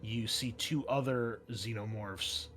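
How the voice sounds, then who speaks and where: Male game master, fairly low register, conversational narration for tabletop play, faint music underneath. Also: slow, a bit bright. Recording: music playing behind the voice. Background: background music.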